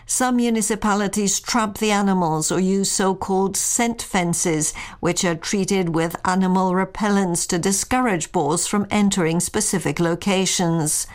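A woman reporter reading a scripted radio news piece in a steady, clear way. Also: mid-range voice.

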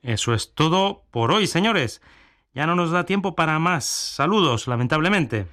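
A man hosting an international radio program, presenting with a measured, formal announcer delivery. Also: a little high, animated, bright, forceful tone. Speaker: radio host.

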